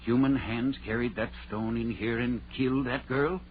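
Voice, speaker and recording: An actor playing a gruff, skeptical, shaken small-town doctor in an old-time radio horror drama, irritable and dismissive. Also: male voice, medium pitch, fairly even intonation, moderate pace, natural voice. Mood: gruff disbelief. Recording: tinny, noisy vintage radio recording.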